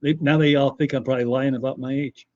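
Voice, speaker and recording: A man's voice: mid-range voice, steady tone, fast talker, warm tone, no room sound. A middle-aged man hosting a casual livestream, rambling and joking as he reads and answers viewer comments. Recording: dull, slightly muffled audio.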